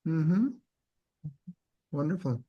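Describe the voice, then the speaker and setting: A man acts as host in a casual interview. He speaks haltingly, often repeating a word or two while he looks for the next one. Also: on the high side, animated, husky, highs cut off.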